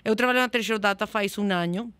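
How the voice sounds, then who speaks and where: A woman's voice: mid-range voice, fairly quick, fairly bright tone, smooth, no echo. A woman who is a native Spanish speaker, with a Spanish-speaking accent, answers as a podcast guest, choosing her words carefully and correcting herself. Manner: careful, searching for Portuguese words, self-correcting. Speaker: guest, customer success manager.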